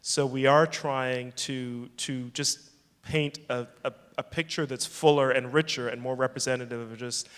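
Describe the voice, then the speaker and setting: A man's voice: mid-range voice, steady tone, fairly bright tone, clear, resonant tone. Thoughtful man in a reverberant hall. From England. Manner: thoughtful, measured, with frequent restarts. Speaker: film festival director. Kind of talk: fireside chat conversation.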